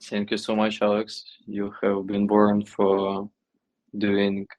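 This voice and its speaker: A man from Ukraine, a startup guest answering interview questions in measured, English. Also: on the low side, completely flat delivery, a little mellow, rough recording. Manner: measured, non-native phrasing. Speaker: guest, tech startup representative.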